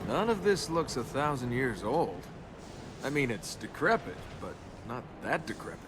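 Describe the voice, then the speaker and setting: Male actor as cocky young game hero, flippant, sarcastic, animated pitch, noisy ambience behind. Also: normal speed, lightly acted. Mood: teasing. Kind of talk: video game voice acting.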